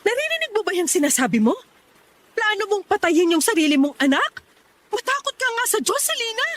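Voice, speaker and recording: A woman's voice: very high-pitched, big pitch swings, brisk, fairly bright tone, full character voice. A woman playing a worried, devout middle-aged mother in a radio drama, firm and pleading as she admonishes. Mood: shocked, reproachful. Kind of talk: Tagalog radio drama acting.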